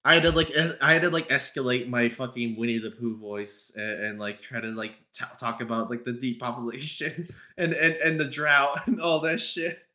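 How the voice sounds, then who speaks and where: Male podcast panelist, bright forward voice at middle pitch, casual, rambles with many restarts. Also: steady tone. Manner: halting and rambling, repeats and restarts phrases. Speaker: podcast panelist and fandub voice actor. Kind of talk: casual chat.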